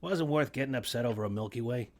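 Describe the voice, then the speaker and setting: A gentle, good-natured uncle in his sixties, played by a man, talking in halting, rambling bits full of fillers. His pitch rises and falls a lot. It is a radio play reading. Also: expressive. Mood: fond.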